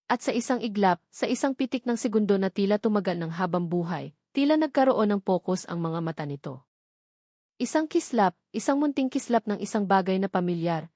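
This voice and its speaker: A woman's voice: medium pitch, a little mellow, no echo. Woman narrator, dry dramatic storytelling. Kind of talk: dramatic scripted romance narration.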